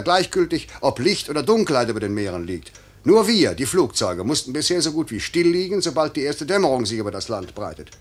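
An actor playing a stern, idealistic airline director, solemn and declamatory, with a husky voice in a 1950s German radio play. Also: male voice, a little high, a bit bright. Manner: declamatory, solemn, clipped authority.

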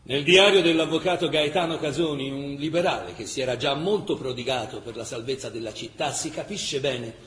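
A man narrating a dramatic historical chronicle in emphatic, measured radio-theatre style. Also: mid-range voice, fairly bright tone. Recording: echoey reverberant hall, faint noise.

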